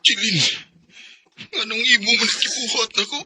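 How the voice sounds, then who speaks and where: A sharp, high, indignant young boyfriend. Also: male voice, fairly even intonation, normal speed, breathy edge, heavily acted. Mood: hurt, indignant. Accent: Cebuano Filipino. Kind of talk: Bisaya radio drama acting.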